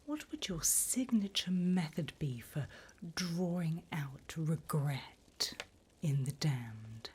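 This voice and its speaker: A woman playing a courteous, sinister demon interviewer in a slow, breathy whisper for an ASMR roleplay. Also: low-pitched, expressive, slight background noise. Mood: delighted, sinister. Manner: slow, deliberate, courteous, softly menacing. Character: infernal demon interviewer, politely sinister, delighted by wickedness.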